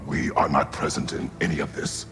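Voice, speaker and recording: An extremely deep, gravelly stern warrior. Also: male voice, steady tone, brisk, a bit bright, faint music behind, heavily acted. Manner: terse, blunt, stern. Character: stern, terse, battle-weary warrior father. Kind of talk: dramatic video game cutscene acting.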